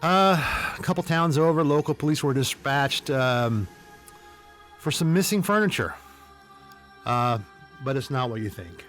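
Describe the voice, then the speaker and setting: A man's voice: medium pitch, animated, measured pace, pauses often, fairly bright tone, faint music behind. A man hosting a podcast, telling humorous stories in a casual, wry, tongue-in-cheek way. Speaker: podcast host. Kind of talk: casual humorous storytelling commentary.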